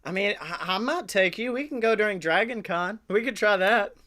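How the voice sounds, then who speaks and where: High-voiced, bright, chatty male podcaster. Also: normal speed. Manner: chatty, enthusiastic, sweary. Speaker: podcast co-host. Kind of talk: casual comedy podcast chat.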